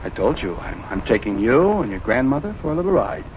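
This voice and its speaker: An actor playing a cold, menacing fugitive killer who speaks in curt threats and false politeness, in 1940s radio acting. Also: male voice, mid-range voice, very animated, brisk, highs cut off, natural voice. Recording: hissy old radio broadcast.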